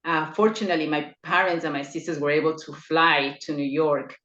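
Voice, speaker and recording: Low-voiced middle-aged woman from Ecuador. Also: fairly even intonation, fairly quick, fairly bright tone. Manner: hesitant, with many fillers and restarts. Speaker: podcast guest. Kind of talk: personal interview. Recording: echoey, dull lo-fi remote line.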